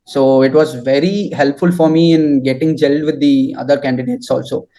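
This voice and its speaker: A young man answering interview questions and giving advice in halting, deliberate phrases. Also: mid-range voice, steady tone, warm tone, rough recording. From southern India.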